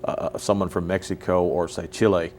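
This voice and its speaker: Deep-voiced man hosting local TV interview. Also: flat delivery, normal speed, darkish tone, slight background noise. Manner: chatty, repeats words while searching, frequent mm-hmms. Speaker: host. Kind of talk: casual interview. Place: local TV studio.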